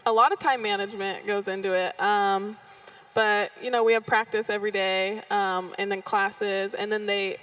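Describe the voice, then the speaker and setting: Woman in her twenties, mid pitch, a little distant, easygoing interview answers. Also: normal speed. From California. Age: 20s. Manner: relaxed, matter-of-fact. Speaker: graduating basketball player. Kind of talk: casual interview.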